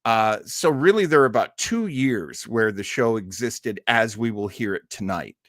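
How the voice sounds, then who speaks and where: A man's voice: lowish voice, animated, normal speed, fairly bright tone, dry recording, clean studio sound. A man hosting a casual live online show, talking to viewers in a chatty, jokey manner. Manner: chatty and jokey, often chuckling. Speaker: actor. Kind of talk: casual livestream chat to viewers.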